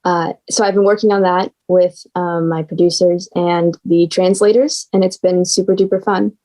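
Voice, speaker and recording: A woman's voice: lowish voice, steady tone, normal speed, mellow, rough recording. A young woman, chatting casually as a guest writer. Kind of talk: casual chat.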